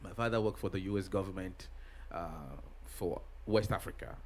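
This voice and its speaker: A middle-aged man from Ghana answers an interviewer's questions. His voice sits a little low, and he speaks in a halting way, with frequent pauses and restarts. Faint background noise runs under the recording. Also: fairly even intonation, darkish tone. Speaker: pastor and guest.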